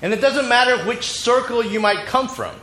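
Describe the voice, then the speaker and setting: A male pastor delivers a sermon in short, measured phrases, stopping often so his interpreter can follow. He is fighting a cold, and his fairly high voice rings through a reverberant church hall with faint room noise behind it. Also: animated, bright, forceful tone. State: has a cold. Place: church sanctuary.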